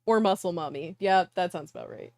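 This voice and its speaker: A woman's voice: on the low side, fairly quick, no echo, clean studio sound. A woman hosting a livestream of visual novels, chatting casually and playfully and sometimes reading aloud, in an informal way. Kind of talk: casual game stream chat with reading aloud.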